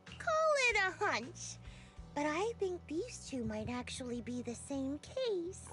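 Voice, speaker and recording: A woman's voice: high-pitched, sing-song, over music, exaggerated voice. An actress playing a confident, teasing, glory-hungry female military operative in a video game. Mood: decisive. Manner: teasing, unhurried, self-assured. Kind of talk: video game story voice acting.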